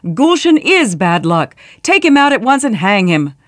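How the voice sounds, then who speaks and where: An imperious storybook emperor acted by a woman, commanding and quick to exasperation. The lines are declaimed with big swings of pitch, in a dry, close sound. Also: big pitch swings, no room sound. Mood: angry.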